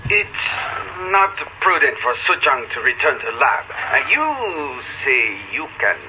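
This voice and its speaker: A terse scheming scientist. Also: male voice, mid-range voice, very animated, slow, noisy background. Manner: speaks of himself in third person, terse. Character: scheming male scientist, clipped broken speech.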